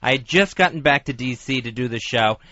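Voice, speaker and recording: A man's voice: mid-range voice, expressive, brisk, pushed and bright. A man hosting radio, recounting personal stories in casual confessional chat in a studio. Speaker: radio host. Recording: slightly muffled dry studio recording.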